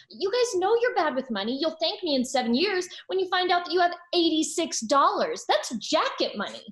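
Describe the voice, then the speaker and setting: An actress playing a prim, earnest, overachieving young woman in a scripted sitcom table read. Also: very high-pitched.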